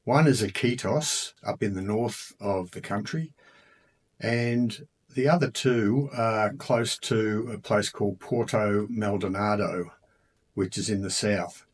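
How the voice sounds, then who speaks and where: An Australian man with a slightly low, husky voice talks through his travels in an easygoing, chatty way. He fills pauses with ums and uhs and pokes fun at himself. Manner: relaxed, chatty, many ums and uhs, self-mocking. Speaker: travel vlogger. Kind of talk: casual travel narration.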